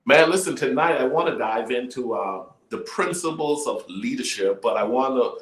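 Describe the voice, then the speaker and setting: A man's voice: medium pitch, animated, normal speed, fairly bright tone. A man hosting a talk show in a conversational, emphatic way, often repeating words, with an echoing sound. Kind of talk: conversational interviewing.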